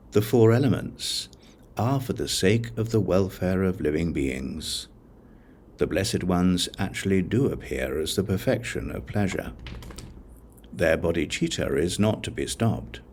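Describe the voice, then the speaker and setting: Male audiobook reader for sacred text: low-pitched, measured phrasing, slight background ambience. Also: animated, darkish tone, slight background noise. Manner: measured, deliberate phrasing with pauses. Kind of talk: scripted narration of a Buddhist scripture.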